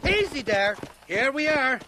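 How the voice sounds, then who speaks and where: A man's voice: extremely high pitch, sing-song, unhurried, full character voice. A man playing a drunken, shifty Irish rogue in a video game, with a slurred lilt and a wheedling, rambling manner. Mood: cagey, matter-of-fact. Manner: rambling, evasive, ingratiating. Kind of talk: video game cutscene acting. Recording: game scene with outdoor noise. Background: horse hooves, outdoor ambience.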